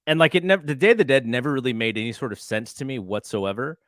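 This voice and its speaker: A man's voice: mid-range voice, quick pace, fairly bright tone, no echo, clean studio sound. A middle-aged man co-hosting a sports podcast, talking in a casual conversational way. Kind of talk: casual chat.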